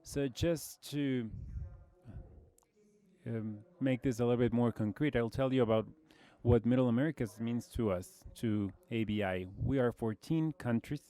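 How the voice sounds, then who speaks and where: A man in his forties from Brazil with a Brazilian Portuguese accent, giving a measured conference presentation with frequent fillers. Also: medium pitch, dark tone, clean tone. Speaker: conference speaker, logistics executive.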